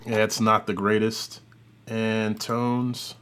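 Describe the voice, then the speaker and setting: A man hosting an audio software tutorial, talking informally and thinking aloud as he works through a demo. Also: on the low side, flat delivery, slow, loud music behind the voice. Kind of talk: casual demo commentary.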